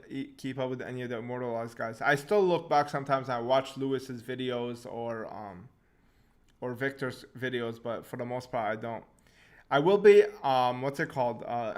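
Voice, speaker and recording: A relaxed young man hosting a live chat stream, answering questions off the cuff. He talks at a middling pitch, laughs often, and repeats words as he starts sentences. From Syria, raised in Canada.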